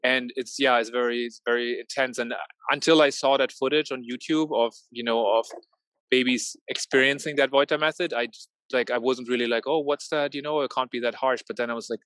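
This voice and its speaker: A man's voice: mid-range voice, steady tone, a little fast, a bit bright, no echo, rough recording. A man in his thirties from Norway and Germany, speaking hesitantly as a guest over a video call from a marina. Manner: hesitant, many fillers and restarts. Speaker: guest, tech founder. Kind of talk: casual interview talk. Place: outdoors at a marina.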